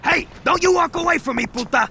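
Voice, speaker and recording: An actor playing a hot-headed, bullying gangster with a Latin American Spanish accent in a game cutscene. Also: male voice, very high voice, brisk, vocal fry, bright, forceful tone, a little hiss or hum, heavily acted. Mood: furious. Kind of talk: video game cutscene acting.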